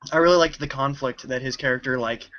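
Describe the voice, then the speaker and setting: A man's voice: mid-range voice, quick pace, fairly bright tone. A man reviewing films in a casual group chat, often peppering his speech with filler words. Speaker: film reviewer. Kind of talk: casual group film discussion. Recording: dull lo-fi sound.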